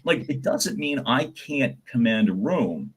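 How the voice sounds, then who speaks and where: A man with a slightly husky, breathy voice gives advice in a live question and answer session. He talks with animated energy and repeats words as he gets each thought going. He has a naturally loud voice. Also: medium pitch, unhurried. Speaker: guest voice coach.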